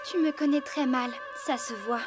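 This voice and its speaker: Woman as a high-voiced, earnest cartoon teenage girl. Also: normal speed, loud music behind the voice, lightly acted. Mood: earnest, reassuring. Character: kind, earnest, encouraging teenage girl horse rider. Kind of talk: French cartoon dubbing.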